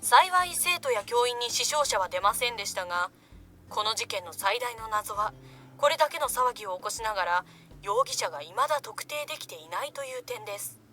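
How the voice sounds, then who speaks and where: A woman's voice: on the high side, lively intonation, sharp tone, faint music behind. A woman playing a serious, formal television news presenter in a visual novel. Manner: formal, measured articulation.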